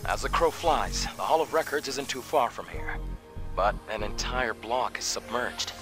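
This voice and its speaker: An actor playing a calm, upbeat young male scout companion in a video game, with a reassuring, conversational manner. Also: mid-range voice, normal speed, close to an everyday voice. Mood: helpful, upbeat. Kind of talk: video game cutscene voice acting. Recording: background music under the voice.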